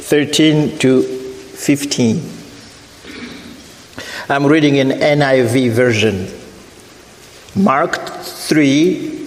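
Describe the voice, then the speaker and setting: A middle-aged man from Africa with a French accent, preaching a sermon as a guest missionary, deliberate and repeating his points. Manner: deliberate, repeats phrases, rises in pitch when preaching. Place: church sanctuary. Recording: echoey church hall.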